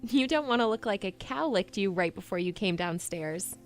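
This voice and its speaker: A woman's voice: medium pitch, animated, moderate pace, soft background music, lightly acted. A woman playing a plucky, awkward young princess in an audio drama, talking in a casual, blunt way. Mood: teasing, awkward.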